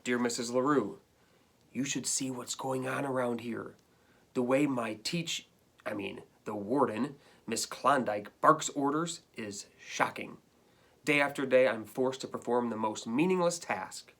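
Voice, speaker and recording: A man's voice: mid-range voice, unhurried, creaky, a bit bright. Man voicing an indignant, melodramatic storybook dog. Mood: outraged complaining. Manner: dramatic, complaining, emphatic. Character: indignant, melodramatic, put-upon pet dog writing letters. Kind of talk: storybook read-aloud.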